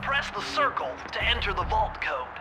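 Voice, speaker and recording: A man's voice: high voice, very animated, normal speed. A man playing a nerdy, earnest gadget-expert animal sidekick in a cartoon video game, explaining things precisely and bookishly. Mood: earnest, instructive. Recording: music behind the voice.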